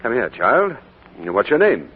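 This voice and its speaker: An actor playing a grieving middle-aged gentleman, wistful and brooding, in 1940s radio acting style. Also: mid-range voice, wildly swooping pitch, a little slow, breathy edge, heavily acted. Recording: tinny old radio broadcast with faint hiss.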